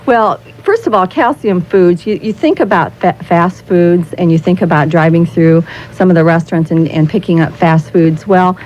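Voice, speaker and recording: American woman, low-pitched, halting explanatory spokesperson delivery, repeated phrases, radio interview, faint background noise. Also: darkish tone. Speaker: nutrition council spokesperson, interview guest. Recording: muffled 1990s radio recording with noise.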